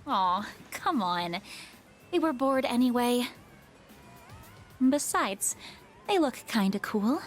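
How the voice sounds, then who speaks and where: A woman's voice: a little high, animated, slow, long pauses, soft background music. An actress voicing an easygoing, teasing young working woman in a video game, with a friendly, coaxing manner. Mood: playful, coaxing. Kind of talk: English video game voice acting.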